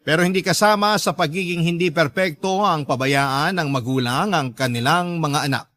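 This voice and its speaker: A man's voice: fairly high pitch, normal speed, fairly bright tone, no room sound. A man with a Filipino accent, a radio host reading out a listener's letter in a dramatic scripted narration.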